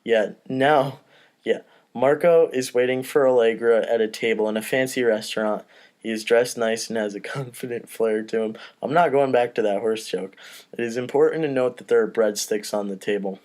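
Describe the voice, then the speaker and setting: A man's voice: medium pitch, normal speed, a little mellow. A man reading stage directions at a casual comedy table read, offhand and breaking off for asides. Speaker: podcast host, script narrator.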